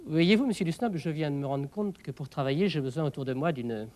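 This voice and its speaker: Male actor as flustered polite man, somewhat high pitch, hesitant, stammering, children's television comedy. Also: fairly quick, darkish tone, close to an everyday voice. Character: polite, flustered young man trying to work.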